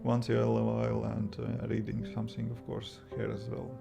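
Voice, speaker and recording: Low gravelly Latvian man, flat delivery. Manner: halting, restarts often, chuckles softly. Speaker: livestream host, iOS developer. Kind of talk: casual livestream chat reading viewer comments. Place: home. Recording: guitar music playing behind the voice.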